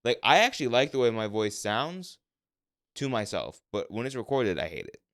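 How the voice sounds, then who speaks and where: A young man co-hosting a podcast in relaxed casual chat, mostly level in tone but bursting into lively swings when he gets passionate. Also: medium pitch, normal speed, no room sound, clean studio sound. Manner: says his voice is monotone but jumps around when passionate. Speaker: podcast co-host.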